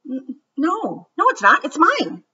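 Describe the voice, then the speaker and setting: A woman voicing a stubborn little girl who loves tiny things, in a high, insistent voice that gets louder when she defends herself. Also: huge pitch swings, unhurried, heavily acted. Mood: defiant. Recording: slightly muffled recording.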